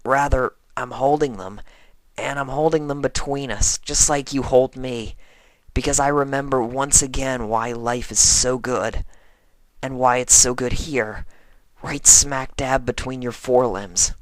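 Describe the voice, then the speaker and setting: A man voicing a brash, lovestruck young female pegasus pony in a strained, raspy voice for a fan fiction reading. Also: medium pitch, steady tone, no echo, lightly acted, poor audio. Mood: tender, earnest. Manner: halting, gushing, smitten. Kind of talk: first-person fan fiction reading in character.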